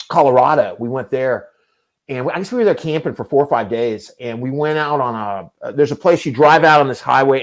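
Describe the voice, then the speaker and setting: A man in his fifties telling long, self-mocking stories in a casual chat as host. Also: medium pitch. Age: 50s. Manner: rambling, self-deprecating storyteller.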